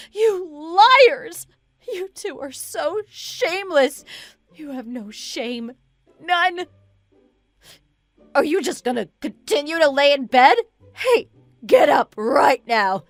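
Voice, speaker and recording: A woman's voice: fairly high pitch, lively intonation, unhurried, a bit bright, no echo. A woman acting a scornful, betrayed wife who accuses her husband with angry sarcasm in a dramatized audio story. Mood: enraged.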